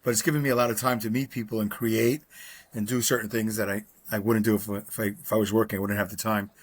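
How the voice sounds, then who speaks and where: A man's voice: on the low side, flat delivery, fast, husky. A man, chatting casually on a video call, rambling with lots of fillers and self-deprecating humor. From Brooklyn, New York. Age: 60s. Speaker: podcast co-host. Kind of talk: casual chat. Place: his home.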